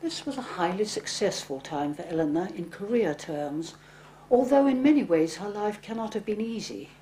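A woman's voice: medium pitch, expressive, normal speed, dark tone, slight background noise. An older woman, giving scripted documentary narration.

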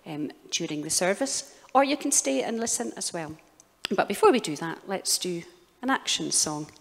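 Casting: middle-aged woman, lower register, children's talk at church, simple explanatory delivery, long hall reverb. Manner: simple explanatory phrasing for children, with rhetorical questions. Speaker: church service leader. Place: stage of a church hall, indoors. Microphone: handheld microphone.

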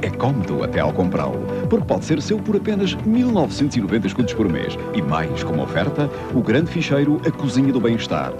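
A man's voice: mid-range voice, wildly swooping pitch, a little fast, hardly any pauses. A man with a European Portuguese accent reading a scripted shopping advert as its announcer. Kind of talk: scripted sales narration. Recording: 1990s TV advert, loud music bed. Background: loud music.

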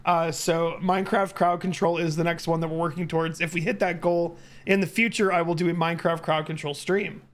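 A man in his early thirties with a hoarse voice, chatting casually as a live streamer on a close mic. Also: on the high side, fairly even intonation, fairly quick, few pauses. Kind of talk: casual livestream chat. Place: indoor home streaming room. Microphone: close boom-arm microphone. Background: music.

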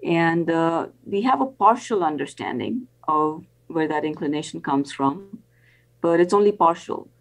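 An older woman speaks in a fairly low voice as an expert interview guest on a video call. She explains carefully and haltingly, pausing often as she builds each answer. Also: lively intonation. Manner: halting, careful, frequent pauses. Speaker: interview guest, professor and planetary scientist.

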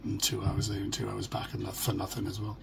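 A middle-aged British man with a hoarse, croaky voice, talking haltingly and in a downbeat way in a casual personal video diary. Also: on the low side, completely flat delivery. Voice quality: croaky, gravelly. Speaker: vlogger. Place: small furnished room at home. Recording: noisy home phone or webcam recording.

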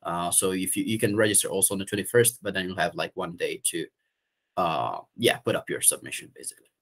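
A man's voice: deep voice, fast, fairly bright tone. Italian man on a video call. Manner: hesitant, many fillers and restarts. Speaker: event organizer. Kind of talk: casual video call meeting. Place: room at home.

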